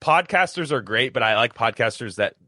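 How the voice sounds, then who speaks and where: A man's voice: medium pitch, lively intonation, brisk, pushed and bright, no room sound, clean studio sound. A man chatting casually as a podcaster, talking emphatically in rambling runs and repeating phrases for stress. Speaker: podcaster, guest.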